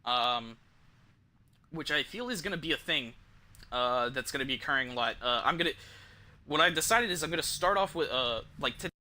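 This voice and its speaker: A man's voice: a little high, expressive, fairly quick, sharp tone. A man who streams games, giving casual, rambling commentary and thinking aloud in informal, mildly profane language. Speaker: game streamer.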